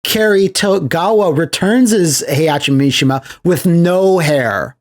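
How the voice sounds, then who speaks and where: A man hosting a podcast in casual chat, recapping things as an animated storyteller who slips into acted-out quotes. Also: fairly high pitch, a little slow. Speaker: podcast host.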